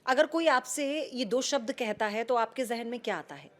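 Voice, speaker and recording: A woman's voice: on the high side, moderate pace. A woman news anchor giving an emotional, earnest commentary, asking rhetorical questions and repeating key phrases.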